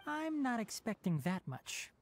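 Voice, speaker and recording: A woman's voice: on the high side, very animated, unhurried, dry recording. A woman voicing a knowledgeable young male forest ranger in a video game, instructive and matter-of-fact with dry sass. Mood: instructive, brisk. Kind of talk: scripted video game dialogue.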